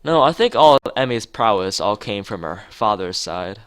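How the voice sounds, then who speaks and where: A man's voice: fairly low pitch, animated, moderate pace, clean tone, no echo, close to an everyday voice. A man voicing a proud, chatty mother of a young runner in a visual novel read-aloud. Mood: wistful. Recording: music behind the voice.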